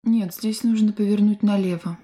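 A woman with a soft, mellow voice reads a language-course drill. She speaks slowly and articulates every word with care, like a studio lesson recording. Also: mid-range voice, fairly even intonation. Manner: slow, clear, carefully articulated.

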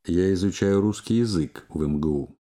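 Casual: deep mellow guy reading language drills, slow and super clearly spoken. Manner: slow, careful, clearly enunciated. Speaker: language course reader.

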